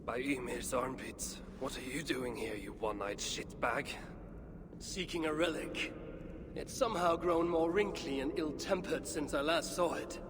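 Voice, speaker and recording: A man playing a gruff, curt, sardonic Norse character in a fantasy game. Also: on the high side, measured pace, natural voice. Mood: sardonic banter. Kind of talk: cinematic fantasy game acting. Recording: clear background noise.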